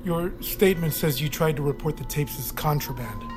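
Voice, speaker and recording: A man's voice: on the high side, a little fast, over music, lightly acted. A man playing a formal, probing army psychiatrist in an audio drama.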